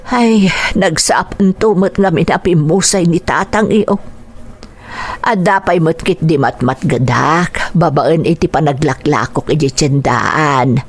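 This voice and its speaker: A woman's voice: on the low side, slightly rough. An actress with an Ilocano accent playing a concerned, plaintive mother of a poor family in a radio drama. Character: anxious, grateful mother of a struggling poor family.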